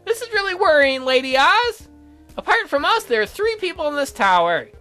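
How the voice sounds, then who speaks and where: A very high, animated voice for a prim little attendant in a visual novel, acted out by a woman. The figure is fussy and fiercely devoted, quick to snipe at others while fretting over a lady. Soft music plays behind. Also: full character voice. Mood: earnest, worried. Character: fussy, devoted magical attendant, snippy and protective.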